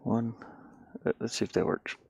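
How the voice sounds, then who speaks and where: A man thinking out loud in casual livestream talk, muttering to himself as he works on a game. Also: moderate pace, dry recording. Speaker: indie game developer streaming.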